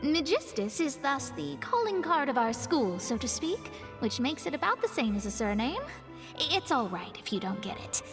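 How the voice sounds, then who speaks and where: A proud, wry young astrologist, composed and a touch haughty as she explains. Her voice sits high and lively, with a long reverb tail, and soft game music behind. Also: moderate pace. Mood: explaining. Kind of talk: studio game dialogue.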